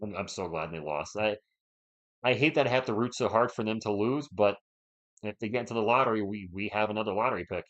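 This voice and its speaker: Hoarse man calling a game live. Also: lowish voice, fast talker, fairly bright tone. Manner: chatty, reads and answers viewer chat between plays. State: sore throat, losing his voice. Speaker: live play-by-play streamer. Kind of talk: casual live play-by-play with chat. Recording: dull lo-fi stream audio.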